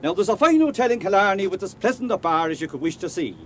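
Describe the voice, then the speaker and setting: An actor with an Irish accent playing a genial, talkative, canny police superintendent in a radio drama. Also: male voice, high-pitched, expressive, fast talker, fairly bright tone, a little hiss or hum. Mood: genial, confident. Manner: folksy, cajoling, garrulous.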